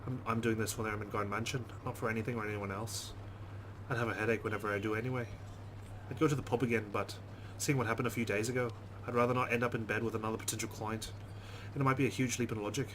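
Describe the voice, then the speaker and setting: Fast-talking man, slightly low voice. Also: a bit bright, slight background noise, poor audio. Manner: informal, chatty asides between reading. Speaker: let's play host. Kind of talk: gameplay commentary and reading visual novel text aloud.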